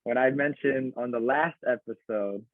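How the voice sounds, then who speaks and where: A man's voice: medium pitch, dry recording. Teasing young man on a tinny mic. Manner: quick, laughing, teasing. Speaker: podcast co-host, son. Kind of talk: casual chat. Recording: tinny phone-like sound, dry room.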